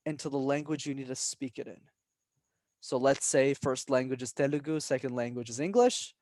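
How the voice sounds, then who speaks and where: An energetic young man speaks in a fairly high voice with lively emphasis. He checks in with "right?" as he talks. The sound is dry and close, with little room reflection. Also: no echo. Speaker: guest, public speaking coach. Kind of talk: casual podcast interview.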